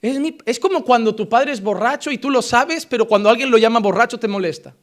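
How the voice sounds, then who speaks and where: A man from Spain preaching a sermon with a Spain Spanish accent, switching between forceful emphasis and quiet, earnest passages. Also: very high-pitched, fast.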